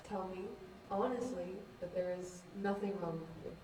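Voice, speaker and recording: A woman's voice: on the low side, moderate pace, warm tone, natural voice. A young woman acting a frank, reassuring character in a student stage play, direct and insistent, recorded at a distance. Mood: insistent, coaxing. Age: young adult. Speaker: student actor. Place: indoor stage, distant microphone. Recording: echoey, distant stage recording, faint noise.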